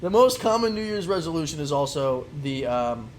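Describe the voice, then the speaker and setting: A man's voice: fairly high pitch, sing-song, moderate pace, slight background noise, rough recording. A young man co-hosting a podcast, chatting loosely with plenty of teasing and swearing. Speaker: podcast co-host. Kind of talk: casual banter.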